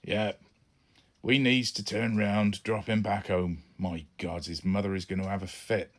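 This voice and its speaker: A man's voice: fairly low pitch, little pitch movement, unhurried, lightly acted. A man voicing a middle-aged, roguish space pirate father with folksy, colloquial talk in a children's audiobook. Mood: resigned.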